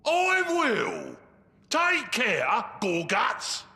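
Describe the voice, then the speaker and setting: An actor playing a loud, gullible, belligerent ork boss in a video game, with a harsh Cockney British accent and a bragging, bellowing manner. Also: male voice, extremely high pitch, wildly swooping pitch, full character voice. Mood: boastful. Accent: British, Cockney-style. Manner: bellowing, slow-witted, bragging. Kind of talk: video game character acting. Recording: long echoing reverb, clear background noise.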